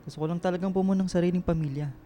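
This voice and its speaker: A man's voice: high-pitched, steady tone, racing, mellow, faint music behind, lightly acted. A man playing an older boyfriend in a radio drama, hesitant then insistent about marriage, with a Filipino accent. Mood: doubtful, hurt. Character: older boyfriend, insistent, eager to marry and start family.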